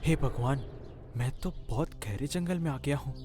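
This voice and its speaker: A man's voice: mid-range voice, moderate pace, over music, natural voice. A man voicing an earnest, plaintive young village man, a wronged stepson in a cartoon moral story. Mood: weary, musing.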